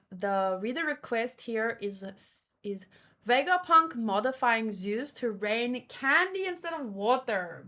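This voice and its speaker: A woman hosting a read-along in a casual live commentary style, with expressive, exclamatory reactions. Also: on the high side. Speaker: host of a manga read-along stream.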